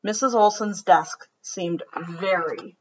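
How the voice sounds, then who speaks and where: A woman reading a children's picture book aloud with expressive storytelling, then gently reflecting on it. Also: fairly low pitch, slow pace, breathy edge. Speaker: storybook reader. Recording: dull lo-fi recording.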